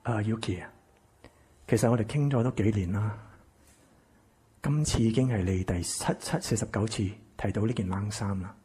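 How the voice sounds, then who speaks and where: An actor playing a wounded, embittered rich man in a Cantonese radio drama, with a Hong Kong accent and a breathy, husky voice. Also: on the low side, moderate pace, pauses often, warm tone. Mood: calm, measured. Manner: intense, dramatic. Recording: music behind the voice.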